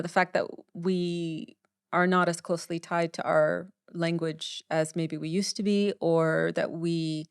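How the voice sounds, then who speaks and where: Smooth-voiced woman writer, close and dry. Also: lowish voice, dry recording. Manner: thoughtful, repeats words while forming ideas. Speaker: writer, podcast guest. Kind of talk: candid conversational interview.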